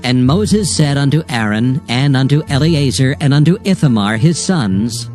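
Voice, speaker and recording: Solemn male narrator, mid-pitched, over soft music. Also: expressive. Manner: solemn, measured, formal. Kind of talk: scripted scripture narration.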